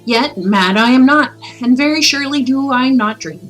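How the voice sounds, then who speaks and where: A condemned, haunted storybook narrator, voiced by a woman gives a solemn gothic confession. The delivery is dramatic and deliberate, lifted above everyday pitch, with music underneath. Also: lightly acted. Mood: grave, insistent. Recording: music playing behind the voice.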